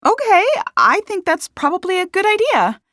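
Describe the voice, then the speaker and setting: A woman's voice: very high-pitched, very animated, normal speed, fairly bright tone, dry recording, studio quality, voice pushed up, full character voice. A woman voicing a practical but defensive woman in an audiobook mystery, speaking emphatically. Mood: practical, firm. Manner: emphatic, exclaiming. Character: practical, defensive woman protesting her innocence.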